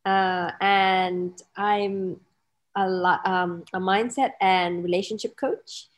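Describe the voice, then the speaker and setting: A woman's voice: medium pitch, fairly even intonation, slow. A woman from Malawi speaks as a relationship coach in a podcast interview. She is reflective and hesitant, with many fillers and restarts as she tells her own story.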